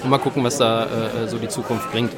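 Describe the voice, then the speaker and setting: A man's voice: medium pitch, little pitch movement, brisk, a loud crowd. A man from eastern Belgium, guest in a conversational interview, talking hesitantly with many fillers and restarts. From Belgium (Eupen). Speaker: guest, beer shop owner.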